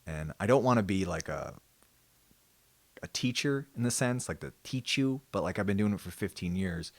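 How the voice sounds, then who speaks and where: A man with a very low, deep voice talks off the cuff as the host of his own show. He rambles and pokes fun at himself, now and then jumping into loud, joking outbursts. The recording is close and dry, with no room sound. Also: dry recording. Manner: rambling, self-mocking, with sudden loud jokey bursts. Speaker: podcast host. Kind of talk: casual solo monologue.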